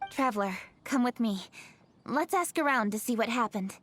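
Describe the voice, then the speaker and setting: Decisive, higher-voiced young noblewoman. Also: lively intonation, normal speed, a bit bright, a little hiss or hum. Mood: resolute. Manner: composed, formal, firm. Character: poised young noblewoman leader, decisive, serious, dutiful. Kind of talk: video game character acting.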